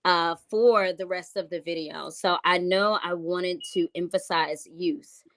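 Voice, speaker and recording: Woman, low voice, from New York City, casual, hesitant, lots of fillers. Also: steady tone, unhurried, fairly bright tone. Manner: rambling, hesitant with many fillers. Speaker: music artist guest. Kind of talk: casual interview chat.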